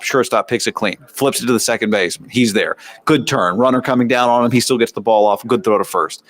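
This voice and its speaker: A man with an American accent giving conversational, analytical sports commentary as a show host, often posing rhetorical questions and asides. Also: medium pitch. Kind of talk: sports commentary, practice recap.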